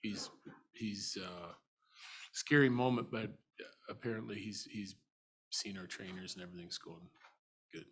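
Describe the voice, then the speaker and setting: A middle-aged man answering as a head coach at a postgame press conference, measured and thinking aloud with frequent restarts. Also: fairly low pitch, fairly even intonation, fairly bright tone, slightly rough. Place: arena press room. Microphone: table mic.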